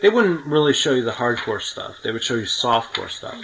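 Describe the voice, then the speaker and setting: A man's voice: medium pitch, big pitch swings, brisk, gravelly, noisy background. A man from New York City hosting a podcast, chatting casually with a friend as he asks questions. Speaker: podcast host interviewing a friend. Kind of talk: casual chat.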